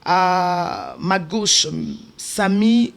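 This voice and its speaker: A woman's voice: on the low side, very slow, a little hiss or hum. Congolese woman hosting a TV interview. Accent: Congolese French. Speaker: television host. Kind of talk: panel interview. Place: television studio set.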